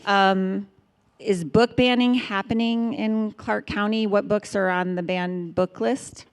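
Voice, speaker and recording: A woman's voice: mid-range voice, fairly even intonation, takes it slowly, smooth. A woman moderating a live panel, informally directing whose turn it is to speak. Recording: reverberant hall sound.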